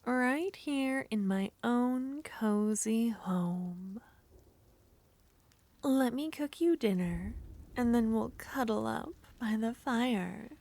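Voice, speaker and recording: A woman performing an ASMR roleplay as a jealous, possessive witch, speaking softly and often whispering. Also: a little high, no echo. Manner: soft-spoken, teasing, often whispering. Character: jealous possessive young witch, teasing and haughty.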